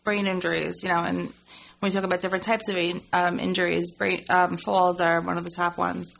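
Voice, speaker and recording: Staten Island woman, gravelly voice, friendly chatty radio guest. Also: on the low side, level tone, brisk, fairly bright tone, rough recording. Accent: Staten Island New York. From Staten Island, New York. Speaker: guest, service coordinator. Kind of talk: radio interview.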